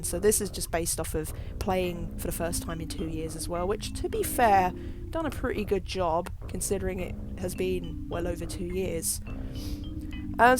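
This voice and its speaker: Chatty young woman talking over gameplay. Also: on the low side. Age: young adult. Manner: chatty and rambling, self-deprecating. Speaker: gaming video host. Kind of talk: casual gameplay tutorial commentary. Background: background music.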